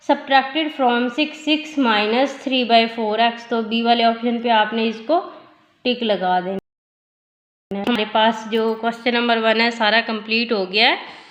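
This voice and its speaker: A woman teacher, slightly hoarse from a sore throat, explains math step by step and asks questions she answers herself. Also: on the high side, fairly bright tone. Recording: echoey room.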